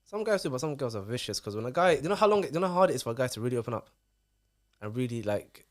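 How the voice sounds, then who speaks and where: Fast-talking man telling stories. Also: medium pitch. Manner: animated storytelling, London slang. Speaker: podcast guest. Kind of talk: casual chat.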